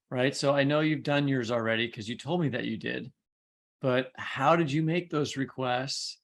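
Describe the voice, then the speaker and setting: A man with a noticeably creaky voice at an average pitch, co-hosting a podcast. He talks informally and bluntly, putting questions with a joking edge. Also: fairly bright tone. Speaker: podcast co-host, interviewer. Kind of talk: casual podcast conversation.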